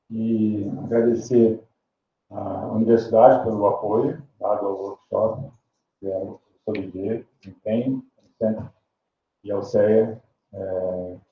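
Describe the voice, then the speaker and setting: A man giving a relaxed, informal welcome speech at a workshop. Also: fairly low pitch, slight background noise. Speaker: workshop organizer. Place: small amphitheater. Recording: phone-like, distant, echoey room.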